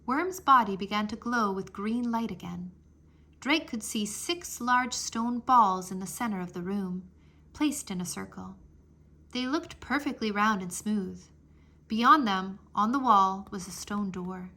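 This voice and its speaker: A woman narrating a children's adventure book aloud with expressive, dramatic phrasing. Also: mid-range voice, a little slow. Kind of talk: children's storybook read-aloud.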